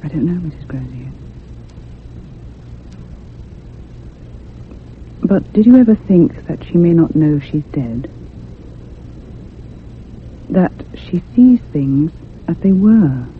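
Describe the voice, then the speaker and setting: A thoughtful young woman telling a radio ghost tale. She sounds grave, troubled and haunted, with measured phrasing. The sound is muffled and telephone-thin, with faint noise underneath. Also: sits low, animated. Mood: subdued, thoughtful. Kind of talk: old-time radio drama narration. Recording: muffled phone-like radio recording with hum.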